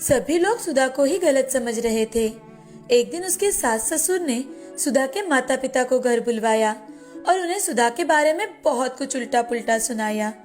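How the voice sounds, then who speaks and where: Female narrator for moral bedtime stories: high voice, scripted delivery, soft music bed. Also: animated, normal speed. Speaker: storyteller.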